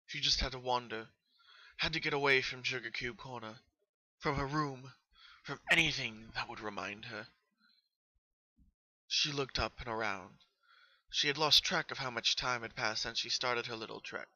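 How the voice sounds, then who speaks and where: Bright-voiced man narrating, very dry sound. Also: medium pitch, normal speed, no echo, muffled recording. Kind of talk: dramatic story narration.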